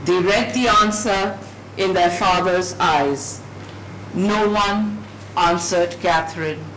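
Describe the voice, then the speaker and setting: Slow-reading woman, distant and echoey. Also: fairly low pitch, a bit bright. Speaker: reader at a dramatised book reading. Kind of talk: dramatic literary narration, read aloud. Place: indoor event room at a live reading. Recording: echoey noisy room from far off.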